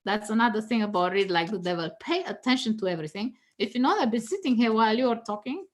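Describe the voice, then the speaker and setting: A woman's voice: on the high side, animated, fast talker. Laughing woman, Danish native speaker. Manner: laughs often, many fillers and restarts. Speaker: guest tarot reader. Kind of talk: casual teaching chat.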